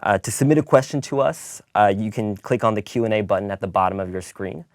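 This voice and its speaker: Young American male moderator, very low voice, dry close sound, hosting a student webcast. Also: fast, dry recording. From northern New Jersey, United States. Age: 20s.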